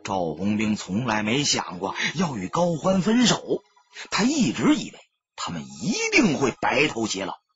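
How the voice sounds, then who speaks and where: A man's voice: mid-range voice, wildly swooping pitch, moderate pace, highs cut off. A man narrating an audiobook as dramatic scripted storytelling.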